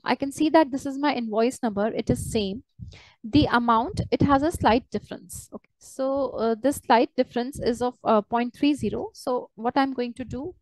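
A woman walking through a software tutorial step by step as a trainer, in a hesitant, halting way. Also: on the high side, no echo, poor audio. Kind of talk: screen-share software tutorial, unscripted walkthrough.

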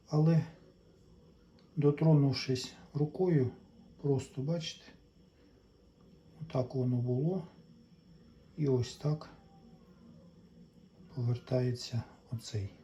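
A man with a soft-edged, mellow voice talks slowly through hands-on repair work in a casual way. He hesitates and thinks aloud as he goes. The recording is a little dull, with faint noise behind him. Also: medium pitch. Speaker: repair hobbyist presenting his own restoration. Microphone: handheld camera mic.

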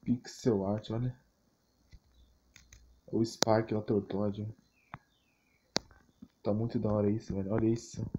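A man's voice: on the low side, level tone, takes it slowly, long pauses. A man with a Brazilian accent giving casual, off-the-cuff gaming commentary in a slangy, rambling, repetitive way. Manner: slangy, rambling, repeats himself. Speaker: gaming YouTuber. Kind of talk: casual off-the-cuff commentary on fan game images and videos. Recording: muffled, noisy phone recording with clicks.

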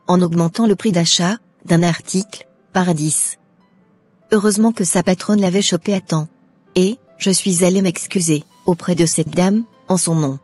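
Mère sévère et exaspérée, voix voilée jouée par une comédienne. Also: female voice, lowish voice, mellow, breathy edge, dry recording. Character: stern, exasperated mother of a wayward son. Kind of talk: scripted cartoon dialogue.

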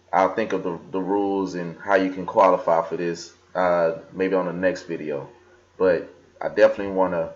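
A young man with a very low, deep voice talks loosely at his desk, full of ums and restarts. The sound comes through a slightly dull computer microphone. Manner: casual, filler-heavy, talks while scrolling and reading aloud. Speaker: crypto vlogger. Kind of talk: casual screen-recorded walkthrough. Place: indoors at a desk.

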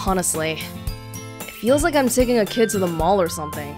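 A woman's voice: medium pitch, expressive, fairly quick. A young adult woman reading a game aloud and adding casual commentary as a let's play host. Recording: game music behind the voice.

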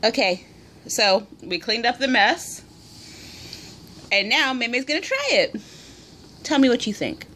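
A woman's voice: fairly high pitch, sing-song, measured pace, long pauses, sharp tone, slight background noise. A woman filming a casual cooking video at home, patiently encouraging and instructing her small child step by step. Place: indoor home room at a table.

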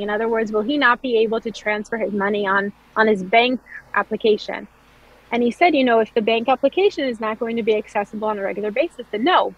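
A woman's voice: a little high, dull audio. A woman in her thirties from Israel, a startup founder telling her story in an interview over a video call, fluent with frequent ums and restarts. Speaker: startup founder and CEO, guest.

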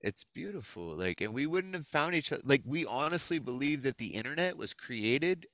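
A man's voice: mid-range voice, very animated, moderate pace, a bit bright, no room sound. An American man in his thirties hosting an open mic over a video call, chatting casually and effusively with plenty of slang and swearing. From Florida, United States. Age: 30s. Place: indoors at a computer, video call. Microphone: headset. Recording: dull, lo-fi video call audio.

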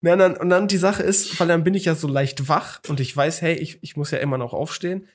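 A man's voice: a little high, fairly even intonation, quick pace. A young man in his twenties co-hosting a casual podcast chat, telling a story with many fillers and restarts, hungover. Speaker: podcast co-host.

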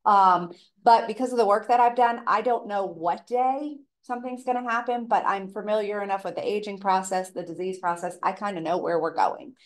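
Raspy woman presenter with a cold. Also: medium pitch, brisk. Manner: casual, chatty. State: tail end of a cold. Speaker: presenter, elder care coordinator. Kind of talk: informal seminar presentation.

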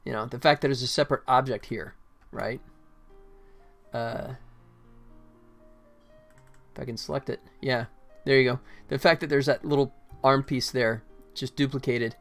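A man's voice: mid-range voice, steady tone, moderate pace, long pauses, faint music behind. A middle-aged man gives casual live commentary as a game developer, thinking aloud in short fragments. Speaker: game developer livestreaming his work.

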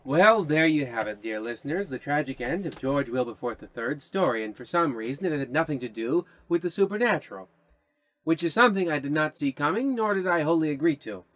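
A grouchy, pompous radio host played by a man, narrating a spoof anthology series. He has a slightly high voice and a theatrical, testy delivery. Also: expressive, fairly quick, fairly bright tone. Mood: disgruntled. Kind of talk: old radio show narration parody.

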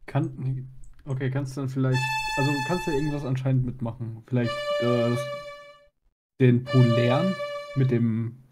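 A man's voice: mid-range voice, little pitch movement, moderate pace. A man chatting casually as he advises someone playing a game. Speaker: co-streamer advising the player. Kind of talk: casual gameplay chat. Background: game music.

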